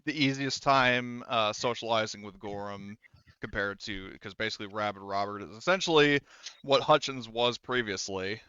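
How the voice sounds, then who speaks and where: A man running a tabletop roleplaying game casually as game master, talking in a halting, rambling way. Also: on the low side, pushed and bright, smooth, no room sound, lo-fi sound.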